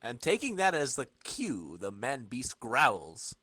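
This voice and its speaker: Man, podcast co-host, comic-book narration and banter, lively pitch swings, bright voice, dry sound. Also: takes it slowly, no echo.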